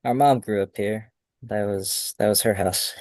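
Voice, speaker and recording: A man's voice: fairly low pitch, steady tone, measured pace, darkish tone, no echo, clean studio sound, natural voice. A man voicing a curt, brooding, irritated man who swears at a table read.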